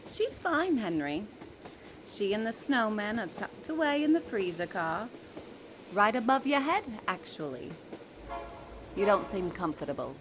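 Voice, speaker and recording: Smug evil magical creature for audio drama, played by a woman: silky, mocking, very varied pitch, some background noise. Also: sing-song, a little slow.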